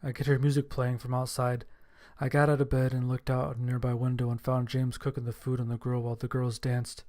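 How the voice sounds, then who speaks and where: A man's voice: medium pitch, completely flat delivery, a little fast, hardly any pauses, warm tone, lightly acted. A man playing an injured survivor who narrates his own story in scripted horror audio drama style. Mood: somber storytelling. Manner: scripted, descriptive storytelling with casual profanity.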